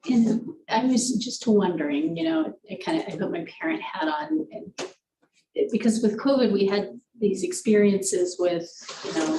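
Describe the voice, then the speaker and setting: A woman on a school board, soft-spoken and hesitant, asking questions at a meeting. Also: mid-range voice. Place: large meeting room. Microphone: desk microphone from afar. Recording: echoey room, distant mic, faint hiss.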